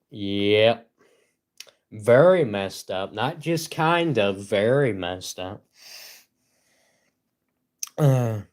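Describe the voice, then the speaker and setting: A gruff young man talks on his livestream in a cynical, rambling way. His voice sits on the low side, and he often chuckles between his points. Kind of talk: casual solo livestream rant.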